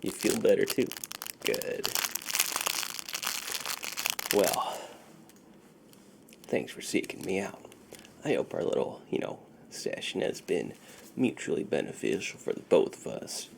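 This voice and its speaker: A man speaking softly and breathily, often whispering, in an unhurried, reassuring ASMR roleplay as a friendly dealer. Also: low-pitched, sing-song, creaky, very noisy. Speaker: ASMR performer. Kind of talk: soft-spoken ASMR roleplay.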